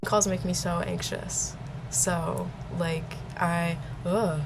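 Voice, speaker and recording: A woman's voice: fairly low pitch, steady tone, slow, background noise. A young adult woman chatting casually in a nervous, hesitant, rambling way. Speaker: vlogger and interviewee. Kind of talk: casual chat.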